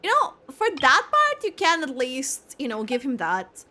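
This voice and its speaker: A woman giving casual, expressive live commentary on a video game, thinking aloud as she reacts. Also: high-pitched, unhurried. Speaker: gamer reacting to story quest.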